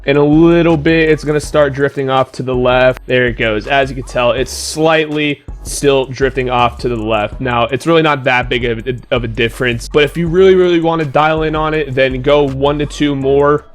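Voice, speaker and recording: A man with a mid-range voice, slightly hoarse, runs a relaxed gaming tutorial. He talks straight to his viewers in a loose, chatty way, often saying "like". Faint music plays behind him. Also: mid-range voice. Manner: casual, talks straight to viewers, many "like" fillers. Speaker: gaming YouTuber.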